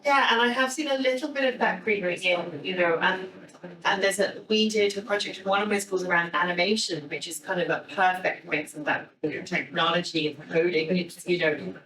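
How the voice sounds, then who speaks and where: A woman's voice: fairly low pitch, normal speed, fairly bright tone. An Irish woman speaking informally and enthusiastically as a guest speaker in a discussion with an audience. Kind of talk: conversational talk and audience discussion. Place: indoor talk before an audience. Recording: echoey room, distant microphone.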